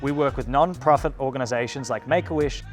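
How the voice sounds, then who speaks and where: A man with an Australian accent and a medium-pitched voice presents a scripted recruitment message. He speaks as a company presenter over a music bed. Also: fairly quick. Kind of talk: scripted recruitment video narration.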